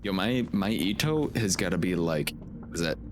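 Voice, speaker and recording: Low-voiced man casually streaming a game. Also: expressive, normal speed, noisy background. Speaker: game livestreamer. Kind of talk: casual gaming commentary with swearing. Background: game music.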